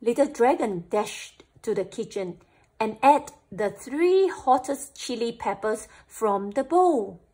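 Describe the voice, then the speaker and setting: A woman storyteller reading a picture book aloud for children, with animated, deliberate phrasing. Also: fairly high pitch, husky.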